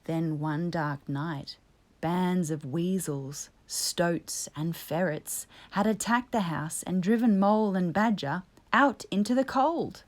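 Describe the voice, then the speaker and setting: Slow, low-pitched woman storyteller. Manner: measured, expressive storytelling. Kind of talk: scripted children's story narration.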